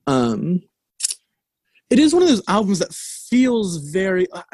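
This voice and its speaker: A man's voice: high voice, very animated, unhurried, darkish tone, dry recording. Chatty man rambling about an album. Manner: rambling, many fillers and restarts, laughs easily. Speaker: album review co-host. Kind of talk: casual music discussion.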